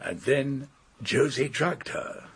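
Polite english gent in a radio play, breathy husky voice, expressive pitch, thoughtful and understated. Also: male voice, slow, exaggerated voice. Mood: sober. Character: courteous English gentleman, mature, thoughtful, sober listener. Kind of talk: British radio drama acting.

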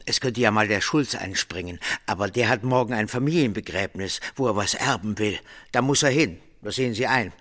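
An actor playing a blustering, bullying small-town merchant boss, gruff and sarcastic, in a German radio drama. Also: male voice, fairly low pitch, a little fast, hardly any pauses, fairly bright tone. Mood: brisk, commanding.

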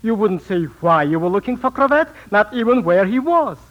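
An actor in a 1940s radio drama playing a sinister, bitter small-time swindler and phony psychic, with formal, theatrical diction. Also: male voice, high voice, expressive, a little fast. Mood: bitter, grandiose. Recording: muffled 1940s radio recording.

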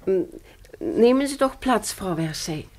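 An actress playing a frail, kindly old widowed shopkeeper and mother, measured and homely, in old radio drama style. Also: mid-range voice, animated, darkish tone, a little hiss or hum, close to an everyday voice. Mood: weary, courteous. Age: older. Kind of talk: 1950s-style German radio play acting. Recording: old radio play with music behind.

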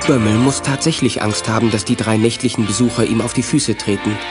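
A man's voice: on the low side, fairly even intonation, brisk, over loud music, close to an everyday voice. A man delivering scripted narration for a children's radio adventure play.

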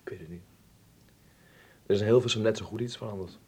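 Earnest young dutch man in a radio drama, lowish husky voice, expressive, bit hesitant. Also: quick pace. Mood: brooding. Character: earnest, eager young Dutchman living in Berlin.

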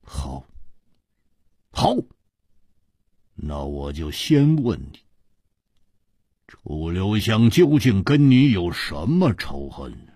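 A man plays a blunt, hot-tempered martial fighter in a wuxia audiobook. He is gruff and emphatic, with a husky voice and huge leaps in pitch. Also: very animated, drawn-out words, pauses often, dark tone, no echo, studio quality, full character voice. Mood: confrontational.

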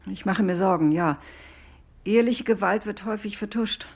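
A woman's voice: mid-range voice, normal speed, darkish tone, slightly rough. Earnest, defensive woman doctor. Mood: concerned. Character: earnest, defensive woman doctor recounting her own investigation. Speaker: doctor, first-person narrator. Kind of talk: radio drama narration and dialogue.

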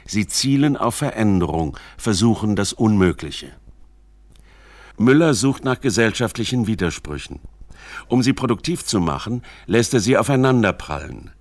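A man's voice: lowish voice, lively intonation, normal speed, dry recording. A man reading scripted narration for a radio documentary. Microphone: close studio microphone.

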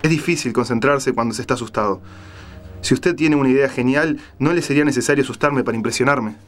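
A man's voice: mid-range voice, fast talker, lightly acted. A man playing a scared captive journalist in an audio drama, hesitant and careful, trying to placate. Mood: anxious, placating. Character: frightened captive male journalist, placating and pleading.

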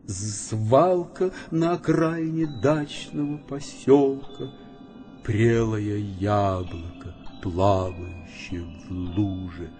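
An actor playing a grandiloquent fly gentleman who relishes decay, declaiming pompously in an audio drama. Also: medium pitch.

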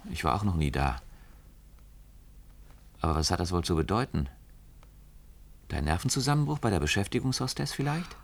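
A conformist husband in a dystopian radio drama. His voice is low-pitched and weary. He speaks carefully, trying to placate and make peace with the way things are. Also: expressive, moderate pace, long pauses. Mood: cautious, placating. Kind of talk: German radio play acting.

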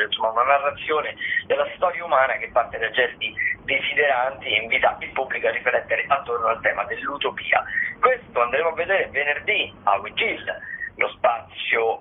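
A man hosting radio and presenting event listings in a halting, discursive way. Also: medium pitch. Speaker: radio host. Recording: tinny phone-like radio sound, faint noise.

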